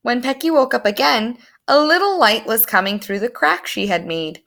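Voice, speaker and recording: A woman reading a story aloud to young children in an animated, expressive storytelling manner. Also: on the high side, normal speed, gravelly. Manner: animated, explains words to young listeners.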